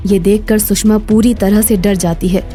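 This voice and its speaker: A woman's voice: medium pitch, steady tone, normal speed, mellow, faint music behind. A woman narrating a scripted story as its storyteller.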